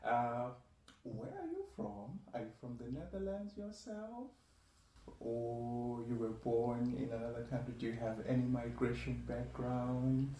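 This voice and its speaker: A man's voice: medium pitch, big pitch swings, unhurried, warm tone, a little hiss or hum. A man hosting a casual interview show in an upbeat, chatty way, often laughing. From Africa. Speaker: show host. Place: room at a wooden table. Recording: roomy, slightly distant mic.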